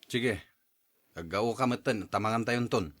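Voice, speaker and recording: A man playing an excitable, questioning man of the household in a Filipino drama, with a Filipino accent. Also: on the low side, a little slow. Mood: casual. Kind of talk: Filipino radio-style drama acting.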